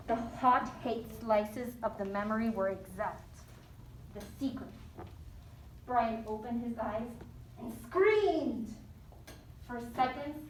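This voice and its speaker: Echoey, higher-voiced woman teacher reading aloud. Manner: encouraging, addresses pupils as friends. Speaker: elementary school teacher. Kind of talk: leading a class and reading a story aloud. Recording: very echoey, distant mic, faint noise.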